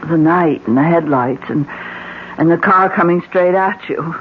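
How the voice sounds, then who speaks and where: A woman's voice: lowish voice, measured pace, close to an everyday voice. An American actress playing an anxious, questioning woman in a radio mystery drama, with a dramatic, urgent delivery. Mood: shaken, earnest. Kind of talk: old-time radio mystery drama acting. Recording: narrow, noisy vintage radio drama recording.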